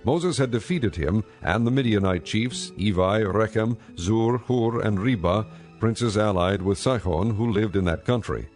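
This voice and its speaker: A man reading scripted audio Bible narration in a measured, solemn manner. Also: fairly low pitch, a little mellow. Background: music.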